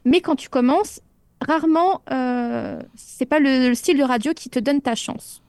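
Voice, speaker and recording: A chatty radio host, quick to jump into the conversation and keep it moving. Her voice is high and bright, and it sounds close and dry with no echo around it. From Paris suburbs, France. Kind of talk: casual on-air chat. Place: radio studio.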